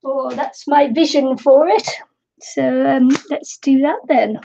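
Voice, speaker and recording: A woman's voice: sits high, fairly even intonation, slow pace, creaky, dark tone, highs cut off, a little hiss or hum. A middle-aged woman chatting casually while crafting on a live stream, slightly nasal from a cold, rambling and giggling often. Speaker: craft vlogger.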